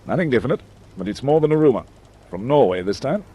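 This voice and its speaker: Lively-pitched senior naval officer. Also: male voice, fast. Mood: composed, businesslike. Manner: crisp, businesslike authority. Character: composed senior male naval operations officer. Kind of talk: radio drama acting.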